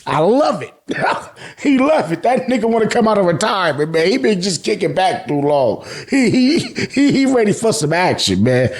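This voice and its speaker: A man's voice: high-pitched, normal speed. An American man giving a casual, joking recap as a channel host, full of slang and swearing and acting out dialogue. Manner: slangy, profane, joking, animated. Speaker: host of an anime and manga review channel.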